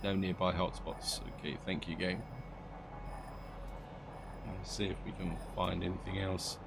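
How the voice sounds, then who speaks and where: Low gravelly man narrating gameplay. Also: level tone, a little slow, background noise. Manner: rambling, thinking aloud, self-correcting. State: slightly croaky from allergies. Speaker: gaming video host. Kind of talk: casual gameplay commentary.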